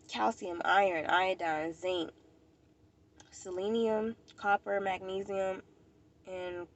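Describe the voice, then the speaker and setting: A woman's voice: medium pitch, creaky, muffled recording, a little hiss or hum. An American woman vlogger with a stuffy nose, chatting casually and informally to the camera, rambling and repeating herself. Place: outdoors at a park.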